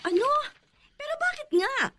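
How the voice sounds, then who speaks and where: A woman's voice: very high voice, sing-song, moderate pace, pushed and bright, slight background noise, heavily acted. A Filipino woman acting a hard-up, skeptical younger sister in a radio drama, outspoken and exasperated. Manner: exasperated, pleading, dismissive.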